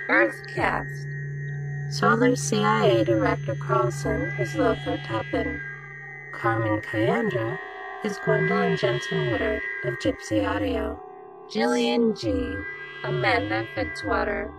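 A woman voicing a female computer that reads out credits in a formal, measured, stilted way. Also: medium pitch, gravelly, heavily acted. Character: female ship computer reading end credits. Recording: loud music under the voice.

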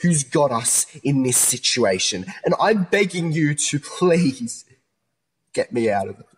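A man's voice: medium pitch, big pitch swings, measured pace, husky, low-quality recording. A man acting in a modern radio play as a distressed, earnest young man pleading his innocence over a split personality. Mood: desperate pleading.

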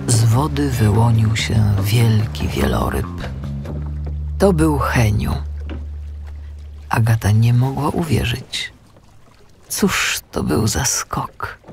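A man narrating a children's audiobook in an expressive, dramatic storytelling manner. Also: medium pitch, vocal fry.

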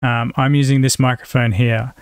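A man with an Australian accent, giving a casual software tutorial as a voice-over. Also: mid-range voice, level tone, normal speed, smooth, dry recording, studio quality. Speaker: tutorial presenter.

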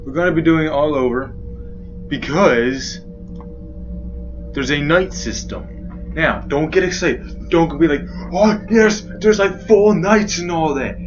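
A man's voice: a little high, takes it slowly, dull audio, over music. A young man doing rambling, joking commentary while playing a horror game. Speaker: gaming youtuber. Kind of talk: let's play commentary.

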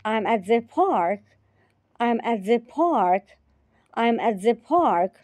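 A woman teacher repeating short phrases slowly and carefully in a repetition drill. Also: fairly high pitch, animated, darkish tone, dry recording. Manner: repeats each phrase three times, carefully.

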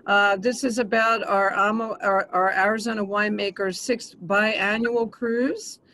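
Halting woman hosting a video webinar. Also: mid-range voice, fairly even intonation, a bit bright, slight background noise. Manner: hesitant, halting. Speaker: travel agent and organizer.

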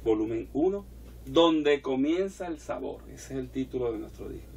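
A middle-aged man with a Venezuelan accent speaks with proud, inviting enthusiasm from a small indoor room. His voice is gravelly, picked up from some distance. A faint hiss sits under the recording. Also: mid-range voice, animated, normal speed, a little mellow, lo-fi sound. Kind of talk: promotional introduction. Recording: distant mic with faint noise.

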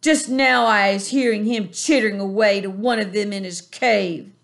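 A woman's voice: mid-range voice, fairly even intonation, normal speed, vocal fry, close to an everyday voice. A woman voicing a brutish male giant eagerly telling on another. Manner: loud, eager.

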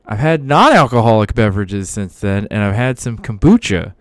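A man's voice: on the low side, lively intonation, dry recording, studio quality. A man in his thirties co-hosting a livestream from home, bantering and teasing in a joking way. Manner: joking, teasing, quick laughs. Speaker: co-host of a history reading stream. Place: room at home.

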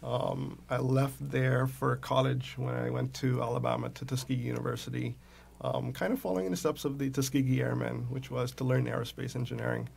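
A man's voice: medium pitch, little pitch movement, fairly quick, creaky, darkish tone, husky. A man in his thirties from Trinidad and Tobago, a guest on a radio show, easygoing into a studio mic. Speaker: guest, entrepreneur. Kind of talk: radio interview. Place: small padded radio studio. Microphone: studio mic with pop filter.

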